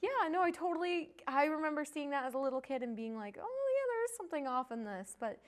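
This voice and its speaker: A woman with a fairly high voice talks as a guest co-host. Her delivery is informal and enthusiastic, in the loose style of a fan having a casual chat. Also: big pitch swings, fast talker.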